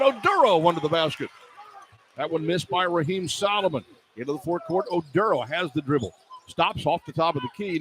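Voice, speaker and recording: Higher-pitched male basketball radio announcer, arena noise. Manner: clipped running descriptions, bursting into excited calls. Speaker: play-by-play sports announcer. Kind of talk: live basketball radio play-by-play commentary. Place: indoor college basketball arena. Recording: clear arena noise behind the voice.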